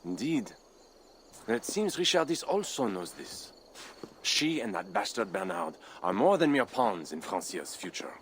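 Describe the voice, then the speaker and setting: A man's voice: on the low side, big pitch swings, a little slow, fairly bright tone, a little hiss or hum. A man playing a proud, ambitious Frankish count, formal and solemn, in a dramatic video game.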